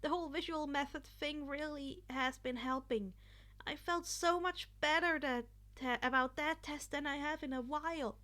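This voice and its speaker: A woman voicing a nervous, grateful teenage boy who speaks in a halting, sheepish stammer in an audiobook story. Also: high voice, pushed and bright. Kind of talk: acted fan fiction audiobook dialogue.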